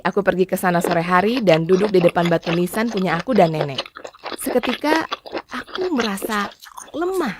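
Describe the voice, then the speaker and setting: Woman telling a dramatic first person story, medium pitch, pretty noisy with clicks behind her. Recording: clearly noisy background with clicks.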